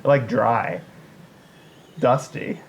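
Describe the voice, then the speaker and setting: A man co-hosting a podcast, bantering casually in an animated, theatrical, mock-earnest way. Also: medium pitch, drawn-out words, muffled recording, slight background noise. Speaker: podcast co-host.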